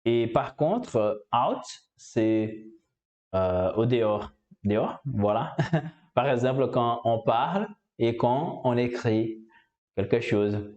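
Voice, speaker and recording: A man's voice: medium pitch, animated, slow pace, a little mellow, muffled recording. A man from Brazil speaking French with a Brazilian Portuguese accent, explaining how he learned the language in a hesitant way with many fillers and repeated words. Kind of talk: casual explanatory talk.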